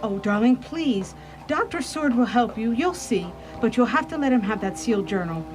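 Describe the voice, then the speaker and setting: A woman performs a devoted young wife in a radio drama. Her voice is on the high side, genteel and earnest, with anxious pleading. Music plays underneath at times. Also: animated, moderate pace, close to an everyday voice. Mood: soothing. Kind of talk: radio theatre acting.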